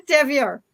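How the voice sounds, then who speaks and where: A woman's voice: high voice, creaky, a bit bright. A woman moderating a fan panel discussion in a conversational way.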